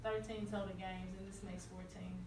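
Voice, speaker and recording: A woman basketball coach answering press questions after a game, earnest and halting with frequent restarts. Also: mid-range voice, flat delivery. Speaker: high school basketball coach. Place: small room at an arena. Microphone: far camera. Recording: roomy, distant, noisy.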